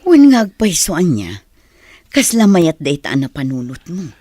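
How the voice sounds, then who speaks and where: Sorrowful Ilocano mother, voiced by a woman, radio drama, subdued and plaintive, husky tone, very dry close sound. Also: low-pitched, wildly swooping pitch, unhurried, dark tone, no echo, heavily acted. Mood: hesitant. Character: sorrowful, regretful mother longing for her child.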